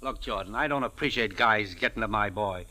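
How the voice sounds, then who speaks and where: An actor playing a suspicious, menacing boxing manager in an old radio drama, blunt and confrontational. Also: male voice, lowish voice, a little fast, pushed and bright. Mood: suspicious, threatening. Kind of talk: 1940s radio drama acting.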